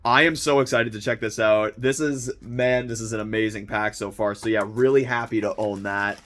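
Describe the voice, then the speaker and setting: A young man with a slightly hoarse voice, chatting casually and excitedly as he unboxes a monthly subscription package, rambling with lots of fillers and restarts. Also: on the low side, normal speed. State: slightly hoarse, recovering from illness. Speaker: vlogger unboxing a film subscription box.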